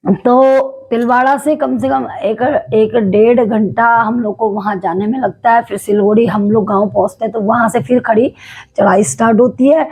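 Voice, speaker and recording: Hoarse devout woman from Uttarakhand. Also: a little high, darkish tone, poor audio. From Uttarakhand, India. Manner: devout, explanatory, with frequent hesitations. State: slightly hoarse throat. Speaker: devotional vlogger telling a temple legend. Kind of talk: casual storytelling to camera.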